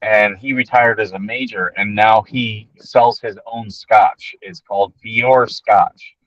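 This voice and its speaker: Low-voiced veteran on a muffled call. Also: male voice, fairly even intonation, slow, no echo. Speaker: guest, military veteran. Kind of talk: casual chat over a video call. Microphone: remote call connection. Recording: dull lo-fi call audio.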